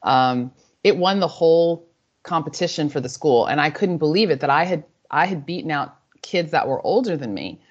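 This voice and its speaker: A woman in her forties, a native Spanish speaker, telling a guest's story reflectively and warmly, often restarting her sentences. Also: sits low, expressive, moderate pace, husky. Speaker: author and guest. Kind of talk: interview answers. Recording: slightly dull lo-fi audio.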